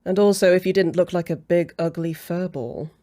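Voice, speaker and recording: A woman's voice: lowish voice, normal speed, dark tone, lightly acted. A woman playing a haughty, jealous female vampire in an ASMR roleplay, with a snippy, sarcastic way of talking. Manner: snippy, sarcastic, easily flustered.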